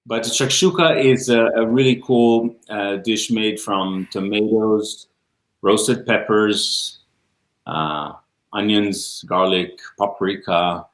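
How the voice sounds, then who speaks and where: A man with an Israeli accent, Hebrew his first language, talks in a fairly low voice, pausing often with ums and restarting his sentences. He is on a video call, and the room gives his voice a noticeable echo. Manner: hesitant, many ums and restarts. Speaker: music teacher and guest. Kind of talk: casual interview chat. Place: room, livestream. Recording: roomy echo.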